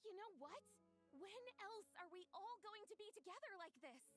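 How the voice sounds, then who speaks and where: A woman's voice: extremely high pitch, lively intonation, normal speed, fairly bright tone, soft background music. An actress playing a small, gleeful, theatrical girlish film director in a video game. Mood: cheerful, eager. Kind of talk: animated game voice acting.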